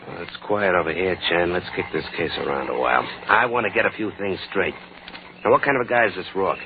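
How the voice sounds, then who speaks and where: An actor playing a gruff, skeptical American police lieutenant in a 1940s radio drama, blunt and slangy in his questioning. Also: sits low, fast. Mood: brisk, probing. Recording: scratchy, tinny 1940s radio broadcast.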